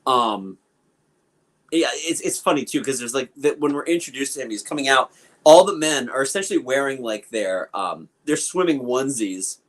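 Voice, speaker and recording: A man's voice: medium pitch, animated, moderate pace. Opinionated man riffing on a podcast. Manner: opinionated, riffing with lots of fillers. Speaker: podcast co-host. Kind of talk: casual film review chat.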